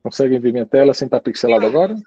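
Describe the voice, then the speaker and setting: A man gives a friendly, conversational university talk over a video call. His voice is mellow and darkish, and the sound is dry and a bit muffled. He keeps checking in with his listeners as he goes. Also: medium pitch, very fast, slightly rough, no echo. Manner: chatty, relaxed, tags sentences with "né" and "tá". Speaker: guest lecturer. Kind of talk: informal university lecture.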